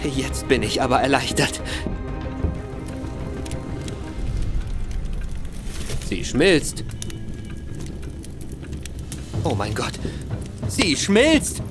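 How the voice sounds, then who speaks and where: An actor playing a timid, easily shocked young gentleman accomplice who hesitates and stammers. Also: mid-range voice, big pitch swings, slow pace, over music. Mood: anxious. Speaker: reluctant accomplice. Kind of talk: studio audio drama acting.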